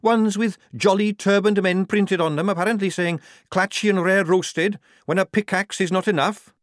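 Sceptical young female recruit, male narrator acting, sardonic, pitched high, dry recording. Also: steady tone, normal speed, dry recording, lightly acted.

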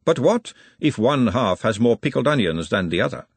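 A man's voice: mid-range voice, big pitch swings, moderate pace, breathy edge, no echo. A man voicing an imperious, disdainful noblewoman in a storybook, formal and pointed in manner. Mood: disdainful, challenging. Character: haughty, imperious aristocratic lady, sharp and disdainful.

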